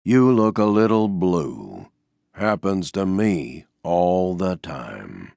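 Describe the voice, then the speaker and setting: A man voicing a gloomy, resigned but gentle stuffed donkey in a video game, with a gravelly drawl and a glum, deadpan, understated manner. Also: sits low, slow, dry recording, clean studio sound, exaggerated voice. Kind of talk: video game character voice lines.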